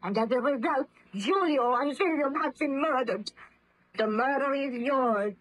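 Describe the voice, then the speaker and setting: An actress playing an impassioned, reproachful young fiancee in a melodramatic, formal 1930s radio drama style. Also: female voice, sits high, measured pace. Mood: bitterly accusing. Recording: muffled old radio drama recording.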